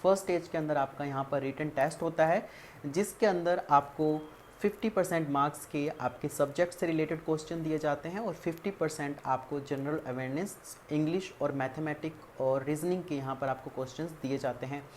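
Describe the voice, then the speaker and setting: A woman's voice: low-pitched, a little fast, a little mellow. An Indian woman presenting a career guidance tutorial, explaining methodically point by point and mixing in English terms. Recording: clearly audible background ambient noise.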